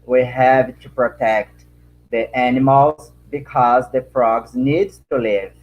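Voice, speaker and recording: A man with a Brazilian Portuguese accent speaks slowly and haltingly in English, his second language, filling pauses and repeating words as he looks for the right phrasing. His voice sits at a middle pitch and comes through a slightly dull, muffled video-call line. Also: fairly even intonation. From Acre, Brazil. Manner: halting, many fillers and repeated words, searching for words. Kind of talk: panel answer in non-native English.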